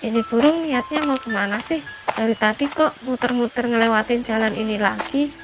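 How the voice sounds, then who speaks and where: A woman's voice: fairly high pitch, a little fast, noisy background, close to an everyday voice. A woman voicing an anxious teenage schoolgirl lost on a hike, talking plaintively to herself in a horror cartoon. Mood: anxious, regretful. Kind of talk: animated horror story dubbing.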